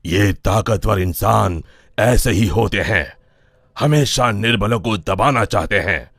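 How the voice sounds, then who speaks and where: Man playing a solemn, slow-spoken holy man. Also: on the low side, a bit bright, no room sound, studio quality, heavily acted. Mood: grave, advising. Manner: grave, measured counsel. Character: solemn holy man giving grave counsel. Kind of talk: animated moral story dialogue. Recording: music under the voice.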